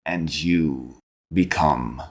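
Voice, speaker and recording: A man narrating a tabletop fantasy game as game master, deliberately and with dramatic pauses. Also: rumbling low.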